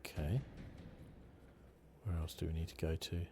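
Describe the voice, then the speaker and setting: Male gaming host, extremely low voice, hushed and unhurried ASMR playthrough, clear background noise. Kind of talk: relaxed ASMR video game playthrough commentary. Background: video game ambience.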